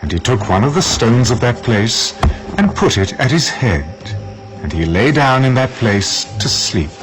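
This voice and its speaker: A man narrating scripture from a script in a measured, dramatic storytelling manner. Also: medium pitch, big pitch swings, music in the background, low-quality recording.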